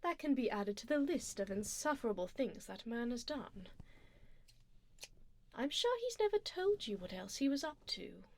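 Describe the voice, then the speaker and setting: Woman playing a high-voiced, haughty vampire duchess. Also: very animated, normal speed, a little hiss or hum. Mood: disdainful, doting. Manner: formal, aristocratic phrasing, soft and breathy with drops into whispers. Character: haughty, doting female vampire duchess, refined and coolly menacing. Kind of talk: ASMR roleplay, close personal attention. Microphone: close binaural ASMR microphone.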